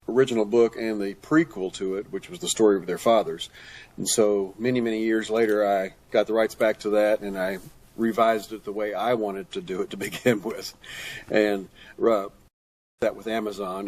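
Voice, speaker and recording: Older male, fairly low pitch, guest author, conversational interview answers. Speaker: guest author, retired pastor and teacher.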